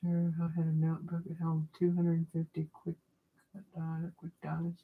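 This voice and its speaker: A craft livestreamer with a loud voice, rambling and thinking aloud while working at a home desk, mic set off the desk. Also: mid-range voice, monotone, normal speed, slightly rough, narrow, tinny audio. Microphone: mic kept off the desk, away from the speaker.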